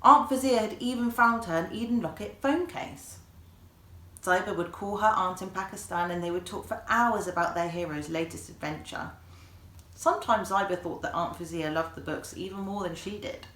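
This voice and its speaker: A woman reading a children's story aloud in an animated way, like an author presenting the book. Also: mid-range voice, moderate pace. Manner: animated, acts out dialogue. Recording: echoey room.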